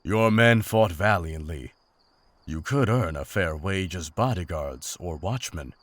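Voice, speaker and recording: A man's voice: low voice, fairly bright tone, dry recording. A man playing a seasoned, honorable monster hunter in a fantasy drama, with formal, restrained, serious speech. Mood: stern. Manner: formal, measured, serious wording. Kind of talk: fantasy audio drama acting.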